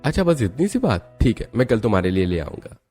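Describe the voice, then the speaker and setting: A man's voice: on the low side, sing-song, quick pace, a little mellow, faint music behind. Mild, placating young cartoon husband, acted by a man. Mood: agreeable. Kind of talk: Hindi cartoon story dialogue.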